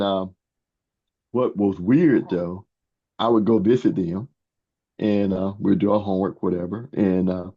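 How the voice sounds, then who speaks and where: An older man in his sixties or beyond, answering interview questions as a guest author in a halting way, often repeating words. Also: lowish voice, slightly rough. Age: 60s or older. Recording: dull, muffled lo-fi sound.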